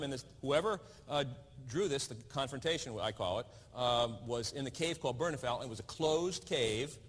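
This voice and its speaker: A man giving a lecture at a lectern on a stage, speaking haltingly with fillers. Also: mid-range voice, a bit bright, slightly rough, big-room sound. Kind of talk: lecture talk.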